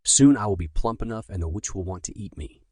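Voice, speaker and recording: A man's voice: on the low side, lively intonation, brisk, dark tone, husky, no room sound, clean studio sound. A man voicing a frightened, unsure little boy in a bedtime story, anxious and questioning. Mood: fearful.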